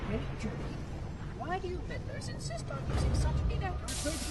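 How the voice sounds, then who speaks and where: Chirpy, high-pitched robot monitor. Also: male voice, wildly swooping pitch, a little fast, full character voice. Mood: prim, puzzled. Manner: cheerfully prim, wordy. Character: chirpy, fussy male floating robot monitor. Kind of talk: video game cutscene acting. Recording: distant, noisy game cutscene audio.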